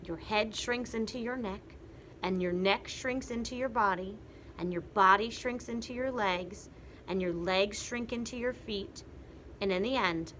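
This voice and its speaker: Woman reads a cruel old prankster husband, gloating, bright and pushed. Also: medium pitch, a little hiss or hum, natural voice, poor audio. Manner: taunting, gloating. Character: nasty old man, gleeful cruel prankster.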